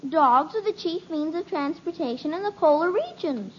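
An actress playing an earnest little daughter who recites facts primly in a 1950s radio comedy. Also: female voice, very high voice, expressive, moderate pace, slight background noise. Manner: prim, earnest, fact-reciting. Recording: dull old radio transcription.

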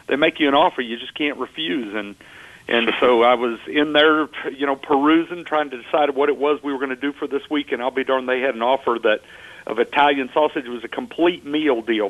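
Folksy Texan man, slightly lo-fi. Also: medium pitch. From Texas. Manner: folksy, joking, chatty. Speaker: guest chef. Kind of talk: casual radio chat. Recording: slightly dull lo-fi sound.

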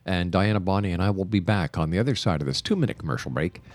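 A man hosting a radio talk show, speaking earnestly and measured, rushing through announcements before breaks. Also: sits low, expressive. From Canada. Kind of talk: radio interview.

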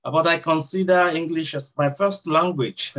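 A man's voice: on the high side, fairly bright tone. Reflective Nigerian-accented man. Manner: reflective, measured, frequent "uh" fillers. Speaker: hospital chaplain. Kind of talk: interview answers.

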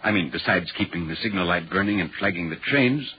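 An actor playing a courteous, inquisitive gentleman traveller in a 1940s radio horror drama, speaking politely and earnestly. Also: sits low, animated, fast. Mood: polite, curious. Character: curious, courteous gentleman traveller visiting a signalman. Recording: muffled, noisy 1940s radio recording.

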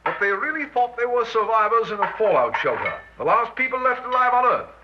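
A man's voice: very high voice, sing-song, fairly quick. An actor playing a doctor's earnest, questioning male assistant in a 1960s radio drama. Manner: earnest, inquiring. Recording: muffled, noisy old radio recording.

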